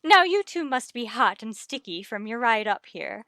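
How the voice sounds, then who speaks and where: An actress playing a middle-aged, breezily bossy wife and gushing hostess in a vintage radio comedy. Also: female voice, fairly high pitch, very animated, normal speed, sharp tone, no room sound, clean studio sound, full character voice. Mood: brisk, hospitable. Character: chirpy, managing middle-aged wife of the principal.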